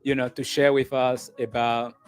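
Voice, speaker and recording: A man of middle pitch hosts a live call-in show, greeting viewers and his guest. His delivery is slow and deliberate, with phrases repeated to land them. Faint music sits under his voice. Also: flat delivery. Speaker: host of a call-in relationship show.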